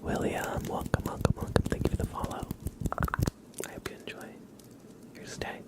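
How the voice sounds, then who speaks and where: A man hosting a casual ASMR livestream, chatting in a breathy, gentle, unhurried whisper and softly repeating phrases. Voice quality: breathy whisper with creaky edges. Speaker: live streamer, ASMR host.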